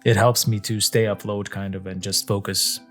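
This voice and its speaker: A young man from Lithuania, speaking English with a Lithuanian accent, talking to camera in a relaxed, reflective monologue that is full of fillers and casual swearing. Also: fairly low pitch, moderate pace, faint music behind. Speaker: content creator.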